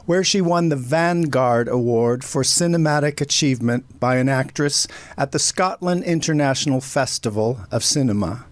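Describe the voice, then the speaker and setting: A man hosting a talk show, his voice a little higher than most men's. He asks friendly, rambling questions with plenty of fillers, recorded close and dry with almost no room sound. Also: no room sound. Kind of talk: interview.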